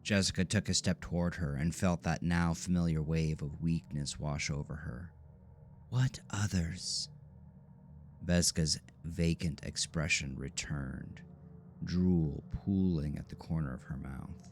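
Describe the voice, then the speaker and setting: A man with an extremely deep, creaky voice narrates a horror audio drama. He takes his time, with a measured and ominous storytelling delivery at a slow pace. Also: fairly even intonation, a little hiss or hum.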